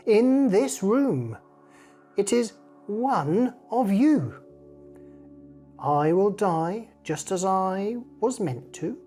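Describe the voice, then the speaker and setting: Animated, unhurried woman reading a children's story. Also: fairly low pitch.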